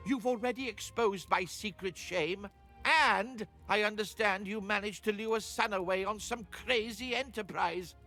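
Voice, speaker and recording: An actor playing a panicky, guilt-ridden mayor in a video game, pleading and theatrical in a high, creaky voice. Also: male voice, a little slow, sharp tone, faint music behind, full character voice. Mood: nervous pleading.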